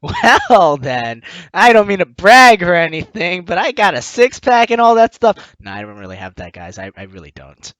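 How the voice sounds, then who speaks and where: Animated game commentator, dry close sound. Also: medium pitch, moderate pace, hardly any pauses, no echo. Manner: animated, jokey, teasing. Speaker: let's player and host. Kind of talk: let's play commentary and read-aloud narration. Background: game music.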